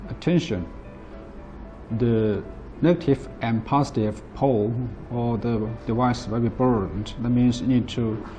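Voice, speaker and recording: Mellow-voiced man, non-native English, demonstrating. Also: medium pitch, soft background music. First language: not English. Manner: plain, halting, step-by-step explaining. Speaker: product presenter. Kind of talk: product demonstration. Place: indoor office at a table.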